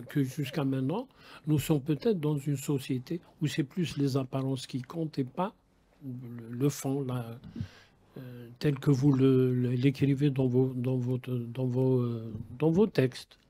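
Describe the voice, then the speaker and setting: A man's voice: medium pitch, fairly even intonation, measured pace, mellow, slightly rough. A man hosting a radio interview, asking long, rambling questions with many halts and restarts. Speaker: radio host.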